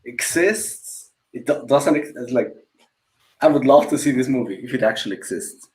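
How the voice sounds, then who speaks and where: A man's voice: mid-range voice, normal speed, darkish tone. A man co-hosting a casual livestream, asking lots of curious questions and often restarting his words. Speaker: co-host.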